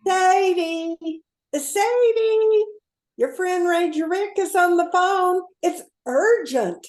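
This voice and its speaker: A woman playing a dutiful airline receptionist in a radio play, with a deliberate, singsong delivery. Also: very high-pitched, a little mellow, reverberant. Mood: urgent. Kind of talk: old-time radio play acting.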